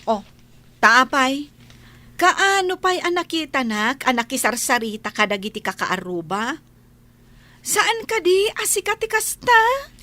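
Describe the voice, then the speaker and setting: A woman's voice: high voice, very animated, unhurried, pauses often, sharp tone, exaggerated voice. A woman with an Ilocano accent acting an outspoken, devout village woman who scolds gossips in a radio comedy-drama, speaking in a preachy, emphatic way. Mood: indignant.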